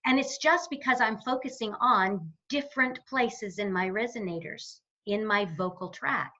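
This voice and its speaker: A woman's voice: mid-range voice, bright, forceful tone, lo-fi sound. A woman speaking as a voice coach in an interview, explaining and demonstrating in an instructional way. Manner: demonstrative, explanatory, deliberately varies resonance. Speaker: voice coach guest.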